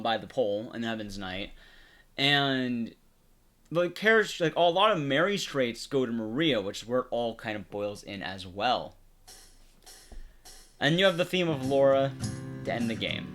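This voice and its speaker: Man casually explaining a horror game. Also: mid-range voice. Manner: casual, conversational, addressing viewers. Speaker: streamer and horror game speedrunner. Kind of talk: live stream game commentary, explanatory.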